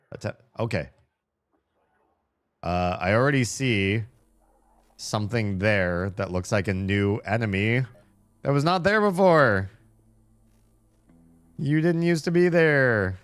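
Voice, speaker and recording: A young man giving casual, profane running commentary while playing a video game, his voice slightly hoarse. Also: medium pitch, fairly bright tone, dry recording. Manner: chatty, swears a lot, talks to himself while playing.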